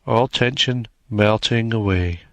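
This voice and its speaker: Low-voiced man guiding a slow meditation. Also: no room sound. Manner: slow, soothing, measured with long pauses. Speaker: meditation guide. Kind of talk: guided meditation narration.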